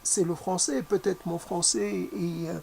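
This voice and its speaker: A non-native French speaker thinks aloud in a slow, gravelly voice with a lot of vocal fry. The delivery is halting, full of pauses, fillers and restarts while searching for words. The tone is reflective, like musing in an interview. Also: medium pitch, fairly even intonation, warm tone, a little hiss or hum. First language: not French.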